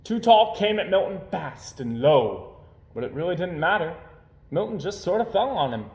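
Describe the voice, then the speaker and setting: A man reading a children's story aloud as storyteller, with expressive narration. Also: high-pitched, moderate pace. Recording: roomy, echoing home recording.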